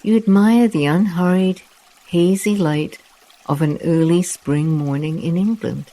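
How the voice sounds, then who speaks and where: A meditation guide narrating a guided relaxation walk in a soft, soothing, unhurried way with long pauses. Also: medium pitch, low-quality recording.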